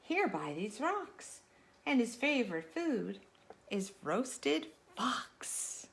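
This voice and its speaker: A woman's voice: fairly high pitch, very animated, drawn-out words, vocal fry, heavily acted. A woman voicing a small, clever brown mouse in a picture book, with a prim, polite manner. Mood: polite, sly.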